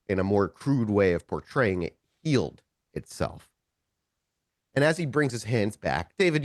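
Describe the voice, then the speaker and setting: A man's voice: on the low side, dry recording, studio quality. A man narrating a tabletop role-playing game as game master, improvising scene descriptions and often correcting himself as he searches for words.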